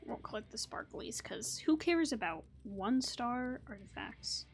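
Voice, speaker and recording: High-voiced woman casually narrating her gameplay. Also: expressive, measured pace, gravelly. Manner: chatty, playful asides. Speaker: gaming streamer. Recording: game music behind.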